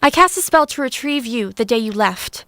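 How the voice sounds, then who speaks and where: Woman acting a high-voiced, resentful fantasy princess. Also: expressive, normal speed, bright, forceful tone, no echo, clean studio sound. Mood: cold, firm. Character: resentful young princess, long trapped in a castle. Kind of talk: stage-style fantasy audio drama acting.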